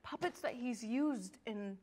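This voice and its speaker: Female talk guest with a high voice, gushing and giggly, informal movie chat. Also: unhurried, darkish tone. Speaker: podcast guest or co-host. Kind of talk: casual film discussion.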